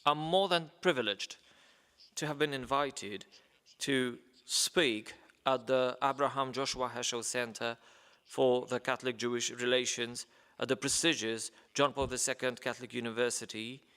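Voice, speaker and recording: A man's voice: medium pitch, fairly even intonation, moderate pace, fairly bright tone, clean tone. A man reading a letter aloud at a ceremony in a formal, hesitant way, with many fillers and stumbles over names. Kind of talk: formal speech read aloud. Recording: long reverb of a large hall.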